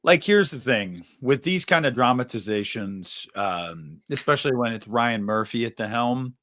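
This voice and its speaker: A man co-hosting a podcast, reasoning through his opinions in a casual, measured way and sometimes voicing hypothetical quotes. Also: medium pitch, expressive, a bit bright. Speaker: podcast co-host.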